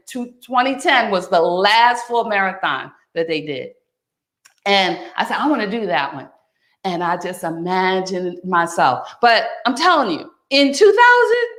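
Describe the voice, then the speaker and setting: A woman in her fifties giving a motivational wellness talk, speaking warmly and directly to the listener as "my friend" and "honey.". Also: mid-range voice. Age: 50s. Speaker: wellness coach. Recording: echoey room.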